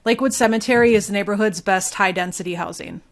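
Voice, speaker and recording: A woman's voice: medium pitch, quick pace, a little hiss or hum. Female podcast guest, mid-range voice, loose informal conversational delivery. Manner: informal, filler-heavy talk peppered with "like". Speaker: podcast guest, local journalist. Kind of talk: casual podcast chat.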